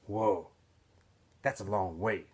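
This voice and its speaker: A man's voice: on the low side, steady tone, slow pace, darkish tone, husky. A man voicing a stubborn but caring teenage runaway boy in a storybook, speaking in short, casual, clipped phrases. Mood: surprised.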